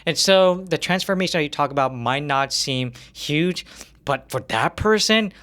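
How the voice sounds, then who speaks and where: A man's voice: fairly high pitch, animated, moderate pace, a bit bright, clean tone. A man hosting a casual interview, speaking in an earnest, effusive and informal way. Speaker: podcast host, interviewer.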